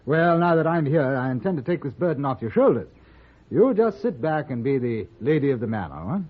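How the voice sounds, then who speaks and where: An actor playing a naive, eager English earl in a radio western, polite and hesitant, with an upper-class British accent. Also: male voice, mid-range voice, sing-song, brisk. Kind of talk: old-time radio western acting. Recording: narrow-band, faintly noisy old radio recording.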